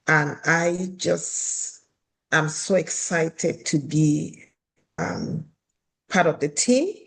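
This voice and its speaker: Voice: mid-range voice, very slow, gravelly, a bit bright, low-quality recording. An advisory board chair from Cameroon gives acceptance remarks, speaking with many restarts.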